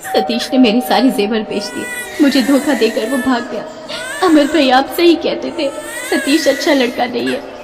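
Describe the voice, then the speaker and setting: Plaintive wronged young mother for animated melodrama, played by a woman, high-pitched, reverberant, over music. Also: moderate pace. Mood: regretful. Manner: plaintive, emotional. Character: tearful betrayed blind young mother, pleading, devoted sister. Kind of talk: melodramatic Hindi animated story acting.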